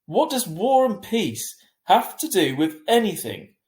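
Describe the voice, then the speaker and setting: A man's voice: high-pitched, sing-song, measured pace, darkish tone, echoey room, pitched above the performer's own voice. A man voicing a worried, protective mother of a teenager in a storybook reading, fussing and pressing. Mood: annoyed.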